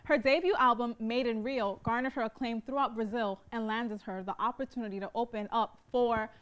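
Young female co-host, upbeat and teasing, bright higher voice, reads intros off a card, lapel mic. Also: steady tone, fairly quick. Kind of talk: reading a guest introduction, then studio chat. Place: TV studio.

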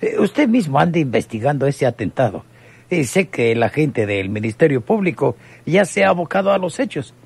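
A man playing a formal, hesitant town mayor with a husky, gravelly voice, hemming constantly in an old radionovela. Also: a little high, big pitch swings, normal speed, a little mellow, exaggerated voice. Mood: solemn, sympathetic. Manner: constant "eh" hesitations, stammering officialese.

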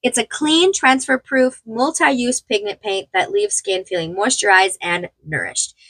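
A woman's voice: sits high, big pitch swings, measured pace, gravelly, a bit bright, dry recording. A young woman getting over a cold, casually reviewing makeup in a small room at home, rambling and chatty with many fillers. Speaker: beauty vlogger.

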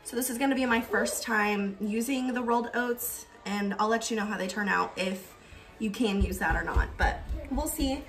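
A woman's voice: mid-range voice, normal speed, recorded from a distance, faint music behind. A young woman casually narrating a cook-along in her home kitchen, explaining each step with friendly fillers. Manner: chatty, explaining steps with fillers. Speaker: cooking vlogger.

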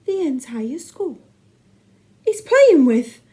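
A woman's voice: very high voice, very animated, extremely slow, sharp tone, recorded from a distance, voice pushed up, heavily acted. Woman acting a frantic, bellowing male schoolteacher. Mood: outraged, spluttering. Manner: bellowing, spluttering, repeating words over and over. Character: pompous, frantic male schoolteacher, easily enraged and unravelling.

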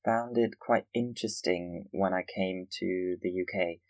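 Low-voiced man hosting a podcast. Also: fairly even intonation, measured pace, a little mellow, dry recording. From Austria. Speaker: podcast host. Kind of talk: conversational podcast interview.

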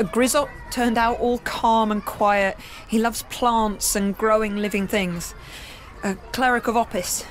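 A woman's voice: mid-range voice, close to an everyday voice. A woman playing a tender, ageing adventurer reading out a letter home in a plain, informal, wistful way. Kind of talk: in-character letter reading, tabletop roleplay. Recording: clear background noise.

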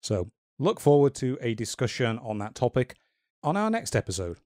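A man's voice: mid-range voice, sing-song, darkish tone, no echo. Dry-voiced man hosting a chatty podcast. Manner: measured, wry and dryly joking. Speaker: podcast host. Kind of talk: casual podcast discussion.

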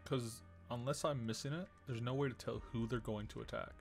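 A man's voice: medium pitch, fairly even intonation, fairly quick, vocal fry, soft background music. A man streaming a game, giving casual commentary and musing aloud as he thinks through his choices.

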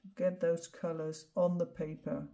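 A middle-aged woman with a Dutch accent, not a native English speaker, calmly explaining each step of a painting tutorial as she works. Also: fairly low pitch, normal speed, creaky. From Netherlands. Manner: calm step-by-step explaining while demonstrating. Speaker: art instructor.

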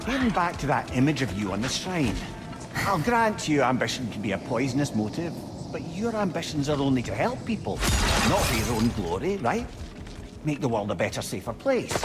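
An actor playing a wry, chatty old talking head and loyal advisor with a Scottish accent in a video game. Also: male voice, on the high side. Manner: chatty, wry, earnest persuasion. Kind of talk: video game dramatic acting. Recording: game audio under music.

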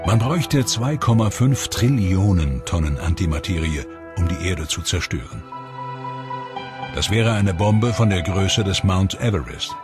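A man giving measured, explanatory scripted voice-over narration for a documentary. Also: deep voice, animated. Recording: voice-over with music behind.

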